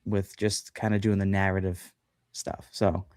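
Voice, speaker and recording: A man's voice: sits low, little pitch movement, unhurried, darkish tone, no room sound, studio quality. A man chatting as a guest on a livestream podcast, talking loosely with lots of fillers and self-deprecating asides. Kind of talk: casual chat on a livestream.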